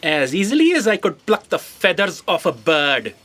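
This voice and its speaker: Woman playing a snide office aide, lively pitch. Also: moderate pace, slight background noise. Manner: dry, snide, dutiful. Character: dry, dutiful female office aide with a snide streak. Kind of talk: scripted comic audio drama.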